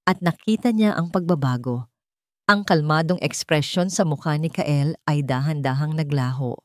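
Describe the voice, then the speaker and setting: A woman's voice: low voice, normal speed, a little mellow, no room sound, studio quality. A woman reading a scripted dramatic story as a storyteller.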